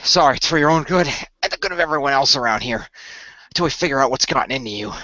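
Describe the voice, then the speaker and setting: A man playing a pragmatic, weary team boss in an amateur radio play, speaking in a measured, matter-of-fact way. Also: mid-range voice, expressive, bright, forceful tone, dry recording, lo-fi sound. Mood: urgent, pained.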